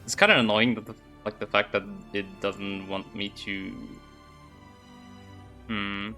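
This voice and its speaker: A man giving casual live game commentary, reacting haltingly as he talks to himself and his viewers. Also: on the low side, steady tone, over music. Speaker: game streamer.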